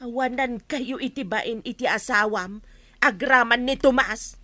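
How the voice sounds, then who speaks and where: A woman's voice: a little high, unhurried, bright, forceful tone, no echo. A woman with an Ilocano accent playing an indignant, accusing village wife in a radio drama. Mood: accusing, angry. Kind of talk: Filipino radio drama acting.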